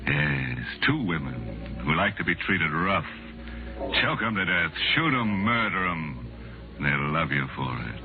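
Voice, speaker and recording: A macabre horror host of a 1940s radio mystery show, with a very deep voice and slow delivery. He is sly and tongue-in-cheek, drawing out his menace. Music plays clearly behind the tinny sound. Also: very animated, full character voice. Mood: sly teasing. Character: gleefully macabre, teasing male horror host.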